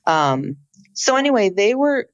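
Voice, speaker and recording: A woman's voice: mid-range voice, sing-song, a little slow, no echo, dull audio. A woman co-hosting a podcast, explaining background in a storytelling way with frequent "you know" fillers. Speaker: podcast co-host. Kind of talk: casual podcast chat.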